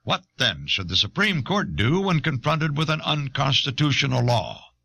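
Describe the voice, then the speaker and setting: An American man reading scripted documentary audiobook narration in a measured, formal, emphatic way. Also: mid-range voice, sing-song, vocal fry, pushed and bright, breathy edge, no room sound.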